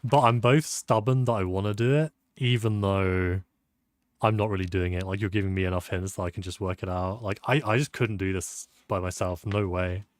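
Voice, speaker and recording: A man's voice: low-pitched, fairly even intonation, moderate pace, no room sound, studio quality. A man streaming a game, thinking aloud about a puzzle in a casual, sleepy, self-deprecating way. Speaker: gameplay streamer. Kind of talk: casual let's-play commentary.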